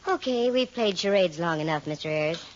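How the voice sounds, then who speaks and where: A woman's voice: fairly low pitch, animated, brisk, close to an everyday voice. An actress playing a breezy, stubborn woman detective in a 1940s radio drama, with slangy wisecracks and a dull old radio sound. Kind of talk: 1940s radio acting and narration.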